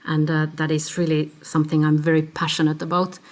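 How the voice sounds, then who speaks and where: A Finnish woman in her fifties with a Finnish accent, a podcast guest explaining her career in a measured way, stopping now and then to find the word. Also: sits low, flat delivery. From Finland. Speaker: podcast guest, agency CEO. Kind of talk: conversational interview answers.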